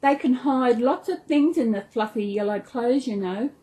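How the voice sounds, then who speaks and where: High-voiced Australian woman reading a storybook. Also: moderate pace. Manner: animated, stumbles over words. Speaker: storyteller, channel host. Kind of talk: storybook read-aloud for small children.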